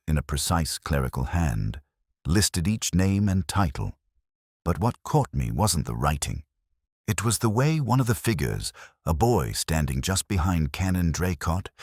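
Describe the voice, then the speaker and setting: A man narrating a scripted first-person detective story in a measured, reflective, literary manner. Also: sits low, darkish tone, no echo, studio quality.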